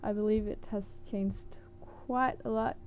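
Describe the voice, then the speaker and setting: A woman's voice: medium pitch, fairly even intonation, a little slow, muffled recording, a little hiss or hum. A woman from Denmark with a Danish accent, teaching words in a casual, hesitant vlog with many fillers and small laughs. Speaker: language teacher vlogger.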